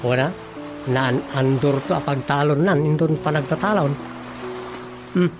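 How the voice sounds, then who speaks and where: A woman's voice: low-pitched, unhurried. An actress playing a chatty, gossipy village woman in a comedic radio drama. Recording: music bed behind voices.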